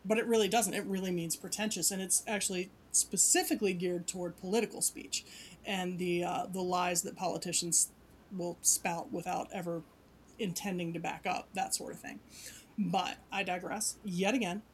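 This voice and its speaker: A woman's voice: lowish voice, fairly even intonation, normal speed, slight background noise. A woman talking animatedly and digressively in a casual conversation, often laughing.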